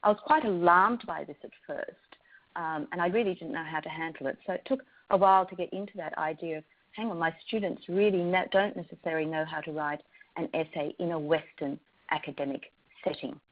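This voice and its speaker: A woman's voice: fairly low pitch, a little fast. A woman with an Australian accent, a university lecturer giving a thoughtful talk with frequent ums and restarts. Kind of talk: prepared talk to educators. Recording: slightly dull lo-fi recording.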